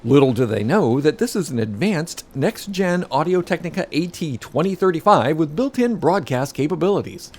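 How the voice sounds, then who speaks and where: Man playing a fussy narrator, expressive swooping pitch. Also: moderate pace, hardly any pauses, slight background noise. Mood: puzzled, self-important. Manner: articulate, pedantic, wry. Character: fussy, pompous male professional narrator held captive. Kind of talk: scripted audio comedy drama.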